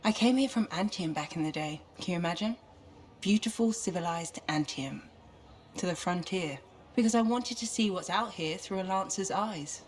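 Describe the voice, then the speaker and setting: A woman's voice: fairly low pitch, moderate pace, close to an everyday voice. An actress playing a curious, determined female mission specialist in a video game, with a wry, questioning manner. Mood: reflective. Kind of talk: video game cutscene acting.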